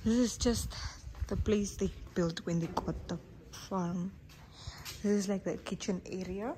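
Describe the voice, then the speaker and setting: A woman's voice: mid-range voice, measured pace. Chatty young woman vlogging outdoors. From Namibia. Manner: chatty, laughing asides. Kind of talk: casual vlog chat to camera. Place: outdoors on a rural cattle farm. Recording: noisy outdoor phone audio.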